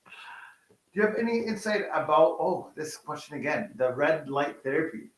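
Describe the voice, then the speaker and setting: A man co-hosting a live stream, reading out viewer questions and joking in a relaxed way. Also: a little high, moderate pace, rough recording. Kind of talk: live Q&A chat.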